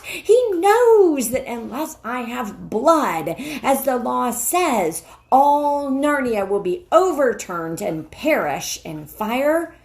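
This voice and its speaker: A woman voicing a cruel, imperious witch queen in a storybook, with a savage, shrill, commanding manner. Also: a little mellow. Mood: scornful.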